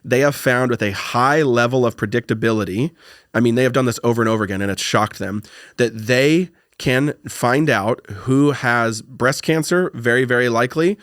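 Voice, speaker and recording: A man co-hosting a podcast, talking excitedly in loose, repeating phrases. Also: mid-range voice, moderate pace, dry recording, clean studio sound. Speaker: podcast co-host. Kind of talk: casual podcast conversation.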